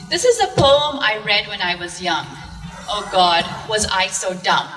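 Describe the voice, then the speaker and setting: A woman with a bright, lively voice tells her own story on a museum stage, slowly and with poetic drama. She sounds far from the mic, in a large echoing hall. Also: medium pitch, faint music behind. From Zambia. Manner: slow, dramatic, poetic delivery. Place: art museum event stage with audience. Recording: distant mic in a reverberant hall.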